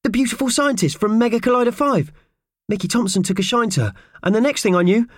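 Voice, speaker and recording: A man voicing a small, excitable, anxious young male friend in a children's audiobook, eager and fretful. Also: extremely high pitch, fast, dry recording, clean studio sound, voice pushed up. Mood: excited, urgent.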